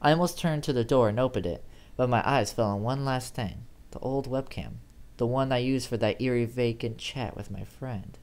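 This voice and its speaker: Guy reading a creepy first person story out loud, kinda theatrical, normal mid pitched voice. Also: normal speed. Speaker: amateur horror story reader. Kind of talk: dramatic first-person horror story narration.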